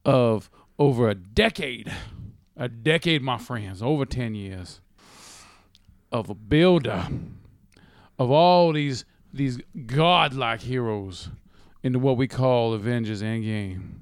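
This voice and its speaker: A man with a clean, smooth voice at a middling pitch hosts a casual film chat. He jokes and swears freely, slipping into playful preacher-style phrasing. The sound is close and dry. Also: slow, dry recording. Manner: jokey mock-preacher flourishes, profane. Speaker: podcast host. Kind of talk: casual movie review banter.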